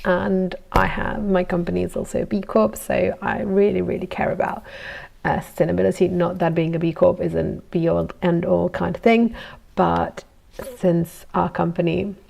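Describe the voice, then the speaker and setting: Woman, young adult, from Italy, native Italian speaker, casual workshop chat, frequent ums and small laughs, slightly gravelly voice. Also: on the low side, flat delivery, normal speed, a little mellow. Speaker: goldsmith streaming from her workshop. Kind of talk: casual live-stream chat while working.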